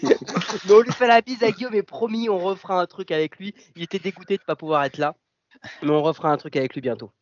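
A man co-hosting a casual podcast chat, joking and teasing his guests, rattling off news items quickly. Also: high-pitched, lo-fi sound, slight background noise. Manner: joking, teasing, rapid-fire news reading. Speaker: podcast co-host.

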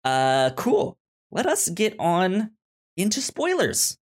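A man's voice: high voice, wildly swooping pitch, takes it slowly. A man hosting a podcast in casual conversation, often stammering and repeating syllables and words. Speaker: podcast host.

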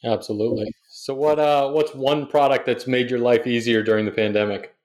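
A male podcast host asks his guest short questions at a middling pitch. He sounds upbeat and encouraging. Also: fairly even intonation, fairly quick, darkish tone. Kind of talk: interview questions.

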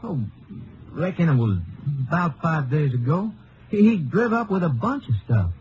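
Man playing a high-voiced, folksy nervous cowhand. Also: expressive, normal speed, lightly acted. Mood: nervous, defensive. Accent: American West. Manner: folksy drawling, flustered, stammering. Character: nervous, naive ranch hand, folksy and defensive. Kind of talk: old-time radio western drama acting. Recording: dull, faintly noisy vintage radio.

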